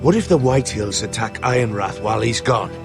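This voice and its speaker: A castle retainer in a fantasy game drama. He sounds dutiful and careful, with a middling male pitch. Soft music sits behind the voice. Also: lively intonation, brisk, vocal fry. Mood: concerned. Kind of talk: video game dramatic acting.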